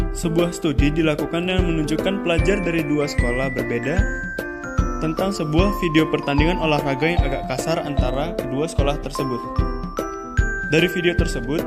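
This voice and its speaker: A man reading a scripted explanation as the narrator of an educational video, steady and explanatory. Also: on the high side. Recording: voice-over under loud music.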